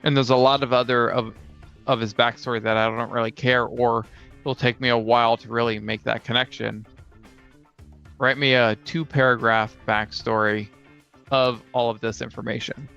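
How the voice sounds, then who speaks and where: A man co-hosting a casual chat about tabletop gaming, explaining his views in a measured way. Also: medium pitch, flat delivery, vocal fry, a bit bright, clear, resonant tone, faint music behind. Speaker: podcast co-host, game master and player. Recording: slightly dull lo-fi sound.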